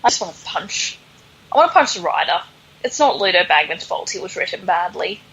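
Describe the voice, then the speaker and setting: A woman's voice: fairly low pitch, very animated, a little fast, fairly bright tone, slightly rough, dull audio. A woman hosting a podcast, casually recapping a story in a sarcastic, exasperated way. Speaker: podcast host.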